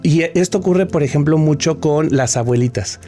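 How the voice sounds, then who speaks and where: A man's voice: fairly high pitch, normal speed, dark tone. A man, a guest expert answering interview questions in an explanatory way, often restarting his sentences.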